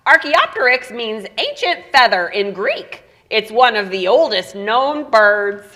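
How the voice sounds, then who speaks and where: A woman's voice: fairly high pitch, very animated, unhurried, sharp tone, heavily acted. A woman voicing a chatty little chicken puppet who lectures with a clucking, know-it-all manner.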